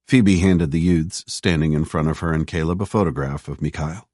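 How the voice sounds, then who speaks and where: Deep-voiced male audiobook narrator. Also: normal speed, a little mellow, dry recording, clean studio sound. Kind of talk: scripted narration.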